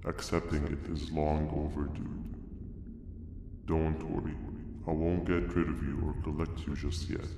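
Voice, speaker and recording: A man playing a calm, philosophical, menacing jellyfish creature in a video game, speaking in grave, eloquent monologue. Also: rumbling low, measured pace, background noise. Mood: cold menace. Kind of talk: scripted video game acting. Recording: echoey hall reverb, loud music behind.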